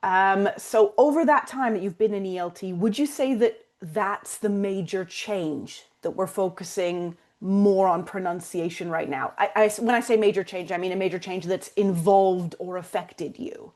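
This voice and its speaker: A woman's voice: medium pitch, moderate pace, few pauses, a little mellow. A woman hosting a podcast interview, attentive, rephrasing her questions carefully.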